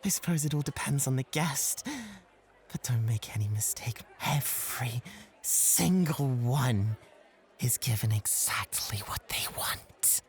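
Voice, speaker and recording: A man's voice: mid-range voice, lively intonation, unhurried. A man playing a competitive, flirtatious club host in an ASMR roleplay, with a coy, playful and coaxing way of talking. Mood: coy, boastful.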